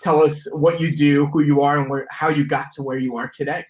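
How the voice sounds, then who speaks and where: A man's voice: on the high side, steady tone, fairly quick. A man hosting an online summit, opening a panel in an upbeat way. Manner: upbeat, brief prompts. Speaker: summit host.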